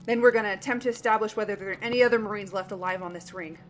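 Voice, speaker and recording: A woman's voice: medium pitch, very fast, soft background music, close to an everyday voice. A woman playing a resolute marine sergeant in an audio drama, with a firm, rallying military manner. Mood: determined, rallying. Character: resolute female marine sergeant taking command.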